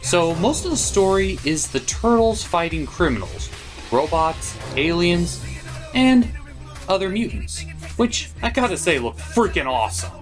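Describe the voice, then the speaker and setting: A man's voice: sits high, sing-song, measured pace, soft background music. A man narrating a scripted cartoon review as host, opinionated and emphatic.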